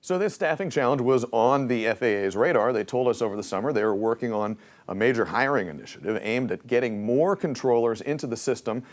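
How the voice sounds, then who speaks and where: A man's voice: mid-range voice, expressive, fairly quick. A man reporting live for television news from a newsroom in a broadcast reporting style.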